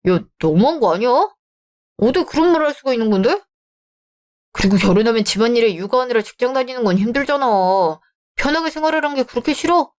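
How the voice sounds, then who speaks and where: A woman's voice: fairly high pitch, lively intonation, fast talker, darkish tone, lightly acted. Woman putting on a defensive young fiancé. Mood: indignant. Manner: defensive, dismissive. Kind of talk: radio drama acting.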